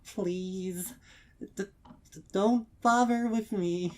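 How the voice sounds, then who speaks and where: A distraught young cartoon mare, pitched very high and pushed loud. The voice trembles and stammers under a brittle, forced cheerfulness, as in a dark storybook reading. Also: male voice, drawn-out words. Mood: tearful, wailing.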